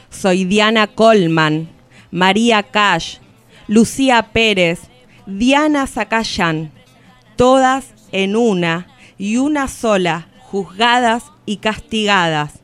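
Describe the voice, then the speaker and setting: An actress playing a defiant woman who speaks for all women, in a solemn, deliberate radio theatre recitation. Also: mid-range voice, smooth, close to an everyday voice. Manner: solemn, deliberate, poetic declamation. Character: defiant collective woman of all ages, grieving and accusing.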